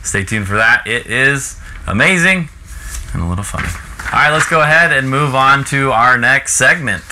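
A man's voice: medium pitch, animated, moderate pace, noisy background. An American man in his thirties co-hosting a casual chat inside a small camper. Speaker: show co-host. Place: small camper living area indoors.

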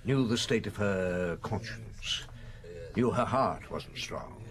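A man playing a shrewd, unhurried French police chief inspector in a radio drama, musing and wry. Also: on the low side, gravelly. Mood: probing, wry. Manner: musing, deliberate, wry, hesitant pauses. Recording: old radio broadcast.

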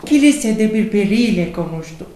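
An actress playing the fussy, scolding pious wife of an old woodcarver in a comedy sketch. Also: female voice, medium pitch, animated, moderate pace, warm tone, natural voice. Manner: scolding, chatty, laughs through lines. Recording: roomy studio with audience and hiss.

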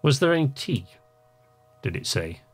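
A man's voice: mid-range voice, big pitch swings, normal speed, slightly rough, soft background music. A man casually commentating on a game he plays, chatting and muttering to himself and reading on-screen text aloud. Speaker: let's play host.

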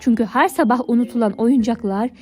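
A woman narrating a scripted fairy tale for children in a clear storybook manner. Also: fairly high pitch, brisk, a little mellow. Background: background music.

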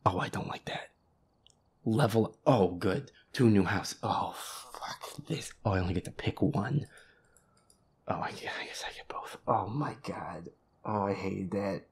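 A young man giving casual gameplay commentary on a horror game, swearing and muttering jitterily in a hushed, breathy voice. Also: on the low side, measured pace. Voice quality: breathy, hushed, often whispering. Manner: profane, jittery, muttering to himself and at the game's ghost. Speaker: gamer playing a horror game.

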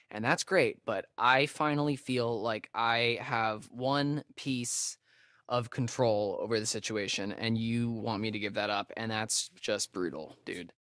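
A man's voice: medium pitch, fairly bright tone, dry recording, clean studio sound, close to an everyday voice. A young man with an American accent playing a sarcastic, nervy camp counselor in a video game, speaking in a hesitant, stammering way. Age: young adult. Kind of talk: naturalistic video game acting.

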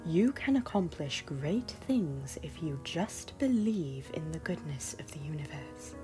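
A woman voicing a solemn, caring queen and mage in an animated fantasy, speaking formally and with measured phrasing. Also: low voice, over music. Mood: gravely reassuring. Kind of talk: dramatic animated fantasy dialogue.

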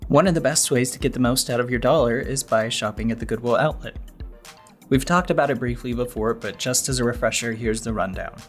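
Man, podcast host, scripted narration, medium pitch, light background music. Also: fairly quick.

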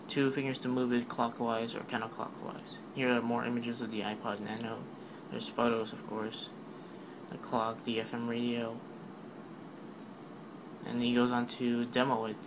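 A man's voice: mid-range voice, monotone, normal speed, background noise. A man giving a casual, rambling voice-over recap of a product keynote, full of fillers and offhand remarks. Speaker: tech video narrator.

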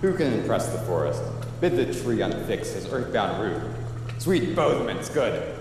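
A man's voice: lowish voice, big pitch swings, measured pace, music in the background. A man playing an imperious, haunted usurper king in a radio play, declaiming intense verse. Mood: defiant. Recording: echoing hall, noisy background.

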